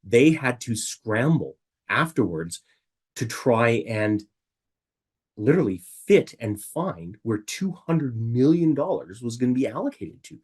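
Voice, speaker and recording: A middle-aged man with a raspy voice from illness, giving a chatty webinar talk from home and often asking rhetorical questions. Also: mid-range voice, big pitch swings, measured pace, a little mellow. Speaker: webinar presenter. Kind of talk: casual presentation.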